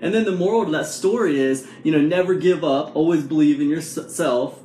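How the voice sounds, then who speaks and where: A man in his forties telling a motivational story to camera in a casual, animated way, full of fillers and rhetorical questions, filmed with a phone at arm's length in a small room at home. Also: on the high side, a little fast, slight background noise. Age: 40s. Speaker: vlog host. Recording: echoey room sound.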